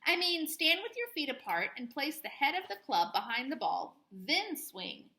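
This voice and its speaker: A woman's voice: high voice, big pitch swings, normal speed, sharp tone, exaggerated voice. A woman voicing a patient, good-natured frog father in a children's storybook. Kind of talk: children's storybook read-aloud.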